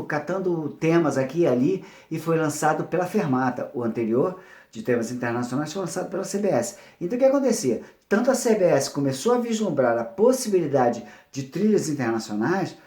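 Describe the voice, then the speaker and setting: A man's voice: fairly high pitch, a little mellow. Brazilian man explaining, in an echoey room. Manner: digressive, searching for words, repeats phrases. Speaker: music producer presenting a series on soap opera soundtrack history. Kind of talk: informal spoken history lecture, unscripted. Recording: echoey, roomy sound.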